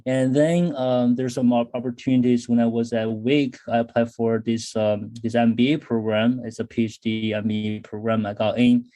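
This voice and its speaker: A man in his thirties, originally from China, talks through his career as a podcast guest. His voice is mellow and relaxed, sitting at an ordinary pitch. He pauses often, filling gaps with ums and starting sentences over. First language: Chinese. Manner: thoughtful, frequent fillers and restarts. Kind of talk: casual interview talk.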